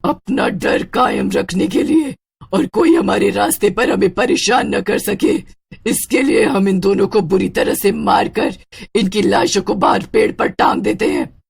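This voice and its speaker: A woman's voice: medium pitch, measured pace, vocal fry, breathy edge, heavily acted. A woman playing a menacing witch-spirit in a horror story. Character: menacing female witch-spirit guarding her haunted road. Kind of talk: Hindi horror story dialogue.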